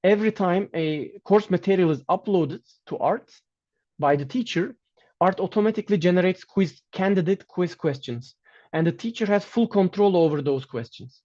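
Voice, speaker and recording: Guest lecturer, male, Turkish native speaker, fairly high voice, measured delivery with restarts, dull lo-fi sound. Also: dark tone. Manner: measured, with frequent restarts and fillers. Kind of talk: online academic lecture with slides.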